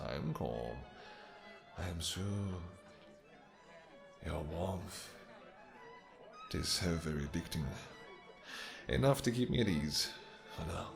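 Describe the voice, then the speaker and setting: A man's voice: low-pitched, vocal fry, heavily acted. A man playing a possessive, courtly vampire king in a romantic fantasy audio roleplay, speaking silky endearments with mock-polite menace.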